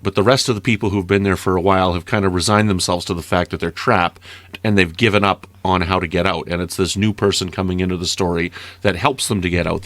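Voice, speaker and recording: A man in a casual interview-style discussion, explaining patiently and asking gentle questions. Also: low-pitched, no room sound. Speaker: guest commentator, interviewer.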